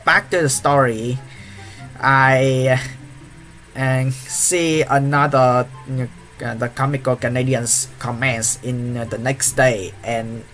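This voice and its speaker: A man's voice: medium pitch, steady tone, slow, fairly bright tone, soft background music. A young man whose first language is Vietnamese, telling a story on his vlog with lots of fillers and restarts, recorded on a webcam in a small room. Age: young adult. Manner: hesitant, many fillers and restarts. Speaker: YouTuber.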